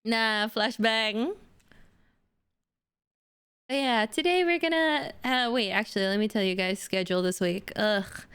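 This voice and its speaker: A slightly high, smooth-voiced woman streamer chats with her viewers in a light Indonesian accent, giggling and joking as she goes. She sounds a little under the weather, with an upset stomach. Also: a little slow, pauses often, fairly bright tone. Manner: chatty, giggly, jokey. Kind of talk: casual chat with stream viewers.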